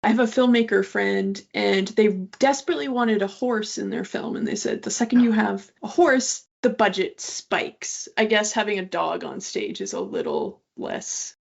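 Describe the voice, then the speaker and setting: A woman's voice: mid-range voice, animated, normal speed, vocal fry, hardly any pauses. A woman talking informally and thoughtfully as a writer in a casual panel conversation. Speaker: writer, incoming master's student in creative writing. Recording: dull lo-fi audio.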